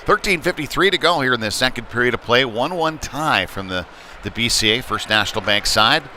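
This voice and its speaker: A man giving live play-by-play commentary on ice hockey in a halting way, with frequent pauses. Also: mid-range voice, expressive, bright, forceful tone. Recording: noisy live rink broadcast.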